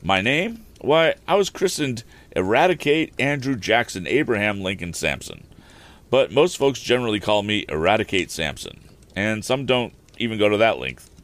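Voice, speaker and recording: Guy putting on a folksy older handyman, rambly and polite, deep voice jumping around. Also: sing-song, moderate pace, fairly bright tone. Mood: folksy, deferential. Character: rustic older male mule-driving handyman.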